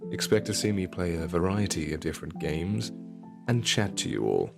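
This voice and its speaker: A man with a very low, creaky voice addresses his listeners as a channel host. His delivery is a scripted sign-off, gravelly throughout, with plucked guitar music behind him. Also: sing-song, normal speed.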